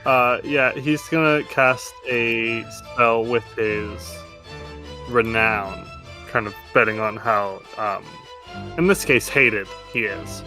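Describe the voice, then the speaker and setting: A man's voice: medium pitch, slow. A man improvising the narration of a tabletop game as its game master. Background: soft music.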